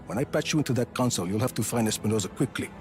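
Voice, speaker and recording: An actor playing a rugged, cocky middle-aged action hero in a game cutscene, laconic and wry. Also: male voice, mid-range voice, animated, rapid-fire, darkish tone, faint music behind, lightly acted. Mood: cocky, determined. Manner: laconic, wry, clipped phrases. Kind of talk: video game cutscene acting.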